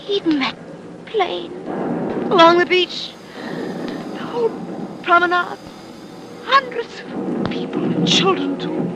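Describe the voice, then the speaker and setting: A woman's voice: high-pitched, exaggerated voice. An actress in an old-time radio play, voicing an earnest, sorrowful, fearful woman in love amid war, in a melodramatic manner. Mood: horrified. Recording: noisy old radio broadcast recording.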